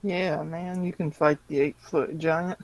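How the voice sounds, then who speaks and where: A man's voice: on the high side, steady tone, a little slow, a little mellow, dry recording, low-quality recording. A man playing a tabletop roleplaying game, speaking in casual, teasing banter with the other players.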